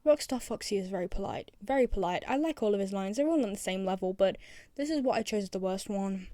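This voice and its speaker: Woman, enthusiastic fan commentator, casual, repetitive emphasis, mid-pitched, dry close mic. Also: animated, fast, mellow, no room sound. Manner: enthusiastic, repeats phrases for emphasis. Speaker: video presenter.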